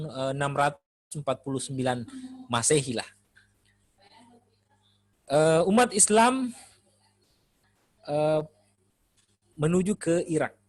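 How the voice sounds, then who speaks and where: A man giving an Islamic studies lecture in a relaxed, conversational way, often pausing with "eh" while he thinks. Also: a little high. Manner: relaxed, conversational, frequent "eh" pauses. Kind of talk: classroom lecture.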